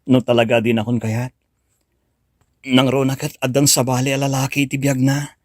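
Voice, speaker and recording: A man's voice: mid-range voice, little pitch movement, moderate pace, no room sound, clean studio sound, lightly acted. An actor with an Ilocano accent playing a heartbroken, betrayed young man in a radio drama, emotional and reproachful. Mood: dejected, resigned.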